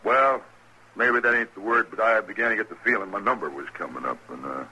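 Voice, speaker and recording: An actor playing a weary, gruff former lawman in a 1950s radio western, talking in plain cowboy speech. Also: on the low side, a little fast, bright, forceful tone, slight background noise, rough recording. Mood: uneasy. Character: weary, uneasy ex-lawman, old friend of the marshal.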